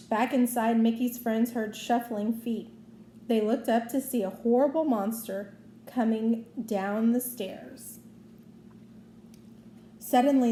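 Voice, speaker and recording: A woman's voice: fairly high pitch, mellow. A woman reading a children's story aloud as narrator. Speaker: storybook reader. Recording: roomy echo, faint background noise.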